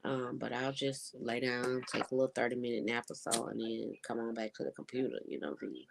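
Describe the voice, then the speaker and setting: Stuffy-nosed young adult with a gravelly voice. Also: medium pitch, brisk, background noise. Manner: rambling, laid-back talk with many fillers. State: stuffy, congested nose. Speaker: vlogger. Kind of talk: casual vlog update.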